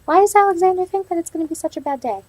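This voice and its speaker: A woman's voice: extremely high pitch, rapid-fire, a little mellow, no room sound. A woman teaching young children in a read-aloud lesson, prompting them with simple questions and reading in an instructional way. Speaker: elementary teacher.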